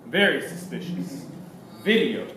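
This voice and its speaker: A man acting an earnest investigator who deliberately lays out a case in a theatrical monologue. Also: a little high, big pitch swings, noisy background. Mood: deliberate, brooding. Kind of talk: theatrical monologue in a stage play. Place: theater stage. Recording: echoey theater, distant mic, loud music behind.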